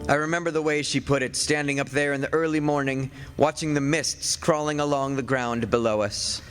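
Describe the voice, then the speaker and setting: A man's voice: a little high, fairly even intonation, a little fast, fairly bright tone, clean tone, lightly acted. An actor playing a witch's centuries-old husband, a learned, matter-of-fact man who tells his story wryly and conversationally in an old-time radio drama. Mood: wry, reminiscing. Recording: reverberant stage hall, faint music.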